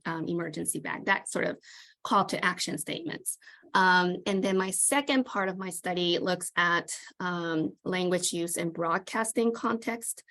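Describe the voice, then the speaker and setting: Thoughtful low-voiced woman from Japan. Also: a bit bright. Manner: thoughtful, with frequent fillers. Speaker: PhD student guest. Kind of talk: interview answers. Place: small room at home. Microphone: video call.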